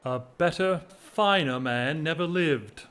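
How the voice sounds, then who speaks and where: A man playing a loyal, lovelorn gentleman in a period audio drama, formal, restrained and earnest. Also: fairly high pitch, slow. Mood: restrained reproach. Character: loyal, earnest gentleman secretly in love with friend's wife. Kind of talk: period drawing-room audio drama acting.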